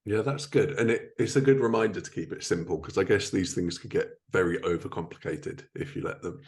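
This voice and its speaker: Low-voiced man hosting an interview. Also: fairly quick. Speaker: podcast host. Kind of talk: conversational interviewing.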